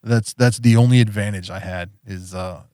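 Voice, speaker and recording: A man's voice: on the low side, fairly even intonation, normal speed, a little mellow, dry recording, studio quality. A man hosting a podcast in casual chat, rambling with lots of fillers and easy laughs. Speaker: podcast host.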